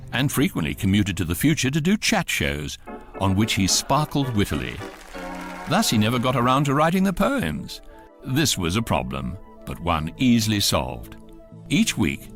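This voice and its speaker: A man's voice: fairly low pitch, fairly bright tone. A man with a British accent as the urbane, wry voice of a galactic guidebook, narrating a scripted radio comedy. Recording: faint music under the voice.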